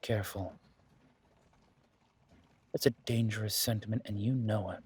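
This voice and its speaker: A man playing a weary, guarded werewolf duke in a fantasy audio drama, speaking in a measured, dry way with a husky voice. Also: lowish voice, fairly even intonation, darkish tone. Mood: resigned, cautioning.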